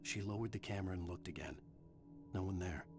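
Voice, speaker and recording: A man voicing a brooding game narrator reading dark thriller prose. His voice is lowish, grave and measured, with clear music underneath. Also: close to an everyday voice. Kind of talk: scripted literary narration.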